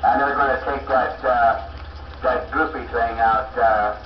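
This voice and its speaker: A man chatting casually over a CB radio, explaining things in a halting, rambling way. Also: medium pitch, fairly even intonation. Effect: CB radio transmission through speaker. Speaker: CB radio operator talking over the air. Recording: muffled CB speaker, echoey, distant, noisy.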